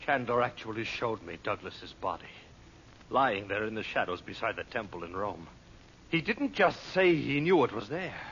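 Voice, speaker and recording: A man's voice: on the low side, expressive, moderate pace, a bit bright. Rattled henchman in old radio play, earnest n pleading, dull sound w some hiss. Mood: agitated, insistent. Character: rattled, defensive henchman reporting to his boss. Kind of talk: 1930s-40s radio drama acting. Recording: noisy, band-limited old radio recording.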